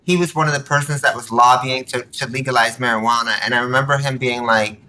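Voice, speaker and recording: Woman, very bright forceful voice, casual podcast guest, animated storyteller, conversational. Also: rumbling low, quick pace, a little hiss or hum, low-quality recording. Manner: animated, quotes dialogue while telling anecdotes. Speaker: podcast guest, nonprofit board member.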